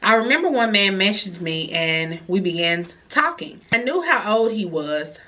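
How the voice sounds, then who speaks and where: A woman's voice: mid-range voice, big pitch swings, normal speed, sharp tone. A young woman vlogger with a nasal, congested voice from a cold, chatting casually and reading viewer letters aloud with her own reactions. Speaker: vlogger giving advice. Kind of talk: casual advice vlog.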